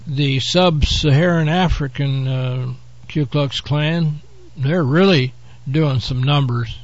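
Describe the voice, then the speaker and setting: A man hosting his own show, hoarse from a head cold, rambling through an offhand monologue with frequent fillers. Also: a little high, slow pace, no room sound, highs cut off. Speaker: host. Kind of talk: casual talk-show monologue.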